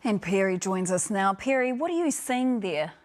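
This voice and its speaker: A woman's voice: mid-range voice, lively intonation, normal speed, a little hiss or hum. A woman with a New Zealand accent presenting television news from a script.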